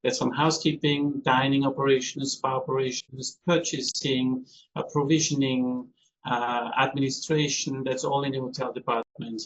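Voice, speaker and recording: A man's voice: medium pitch, little pitch movement, moderate pace. A man in his fifties from Austria, a cruise hotel manager answering interview questions in a chatty way, calling in from a ship. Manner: chatty, with some non-native phrasing. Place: on board a ship, remote call. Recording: slightly dull call audio with faint noise.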